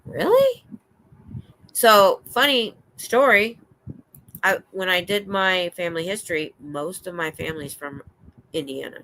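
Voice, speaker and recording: An older American woman hosting a livestream, chatting in a rambling, excitable way. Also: lowish voice, a little slow, long pauses, low-quality recording. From United States. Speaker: livestream host. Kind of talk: casual chat. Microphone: streaming setup.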